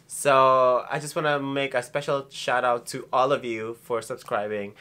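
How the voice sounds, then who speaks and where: A man's voice: mid-range voice, level tone, moderate pace, pushed and bright, slight background noise. A young man from the Philippines whose first language is Bisaya, hosting a casual reaction chat and exclaiming often. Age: young adult. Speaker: YouTube reaction host. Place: bright indoor room.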